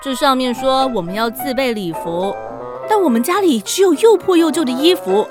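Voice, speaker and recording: A woman's voice: high-pitched, lively intonation, moderate pace, music in the background. A woman playing a bossy, practical young eldest sister in a children's radio drama.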